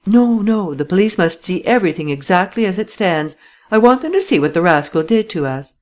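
A woman reading an audiobook voices a clever gentleman thief who gives brisk, imperious instructions in a slightly raised voice. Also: on the low side, big pitch swings, pitched above the performer's own voice. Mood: urgent. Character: cool, commanding gentleman thief, clever and persuasive.

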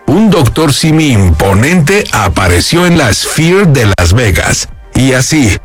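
A man's voice: mid-range voice, husky. A man with a Mexican accent reading scripted narration for a promotional video. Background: background music.

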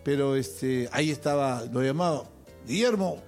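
A man's voice: mid-range voice, normal speed, darkish tone, faint music behind. An older man, hosting a casual chat among musicians and telling anecdotes, acting out the voices of the people he quotes. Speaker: host.

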